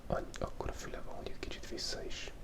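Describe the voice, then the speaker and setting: An ASMR creator whispering breathily in a playful, musing way while narrating a drawing. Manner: playful, joking, musing aloud. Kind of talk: whispered ASMR, rambling casual drawing commentary. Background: writing and drawing sounds, keyboard clicks.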